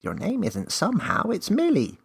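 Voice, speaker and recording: Guy doing a tiny storybook elf, cheeky n bossy, pitched up, kinda raspy, super theatrical. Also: huge pitch swings, normal speed, full character voice. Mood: teasing. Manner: teasing, exclamatory, theatrical.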